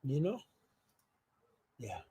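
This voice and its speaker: Casting: man, informal online show host, mid-pitched voice, easygoing conversational chat with trailing asides. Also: wildly swooping pitch, very slow, warm tone, husky. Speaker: live stream show host. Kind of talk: casual live stream chat.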